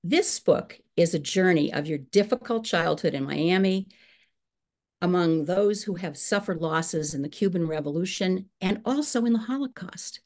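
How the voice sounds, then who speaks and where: Low-voiced woman moderating a video interview. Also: normal speed. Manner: warm questioner, affirming. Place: home room. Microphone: video call.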